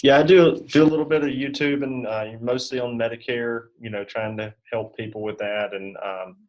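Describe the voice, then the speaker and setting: A man's voice: mid-range voice, steady tone, moderate pace, highs cut off. A man chatting casually as an interview guest. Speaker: guest insurance agent.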